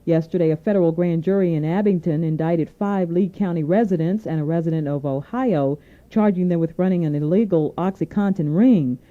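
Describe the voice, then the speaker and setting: A woman anchoring a scripted radio newscast, reading in a formal, measured way. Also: on the low side. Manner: formal, measured newsreading delivery. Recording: narrow tinny AM radio aircheck.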